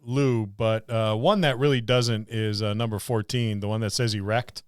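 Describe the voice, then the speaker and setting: A man's voice: on the low side, fairly even intonation, normal speed, smooth, no echo. A middle-aged man co-hosting a podcast, chatting casually about tabletop gaming with dry, wry teasing. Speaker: podcast co-host.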